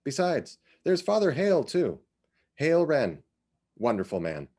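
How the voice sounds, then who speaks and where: A man voicing a brisk, opinionated small-town woman in a storybook reading, with bright, emphatic, gossipy phrasing. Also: mid-range voice, wildly swooping pitch, pitched above the performer's own voice, full character voice. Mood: enthusiastic, gossipy.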